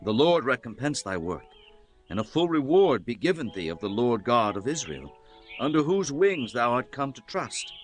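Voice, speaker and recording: A man's voice: medium pitch, big pitch swings, moderate pace, soft background music, close to an everyday voice. A man playing a kindly, solemn biblical landowner in a dramatized scripture audiobook, with formal, declamatory delivery. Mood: solemn, benevolent. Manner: declamatory, formal archaic speech.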